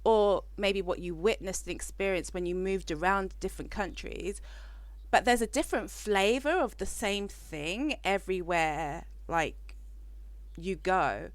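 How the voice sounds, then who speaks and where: A woman's voice: mid-range voice, unhurried, no echo. A woman from Africa hosting a podcast interview, speaking in a reflective, searching way that trails off mid-thought. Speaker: podcast host.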